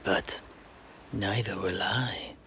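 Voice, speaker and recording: A man playing a sneering dark elf corsair captain in a fantasy audio play, with a breathy hissing whisper and a slow, menacing delivery. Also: on the low side, fairly even intonation. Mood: scornful menace.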